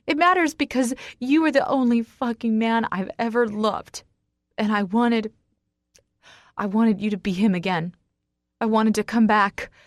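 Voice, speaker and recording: A woman's voice: on the low side, dark tone, breathy edge, no room sound, studio quality. A woman voicing a heartbroken, defiant, fiery woman with raw emotion, as a character in a romance audiobook.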